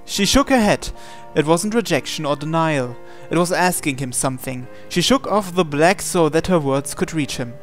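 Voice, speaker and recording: Higher-voiced man reading dramatic narration. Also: lively intonation, moderate pace, few pauses, faint music behind. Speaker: visual novel reader.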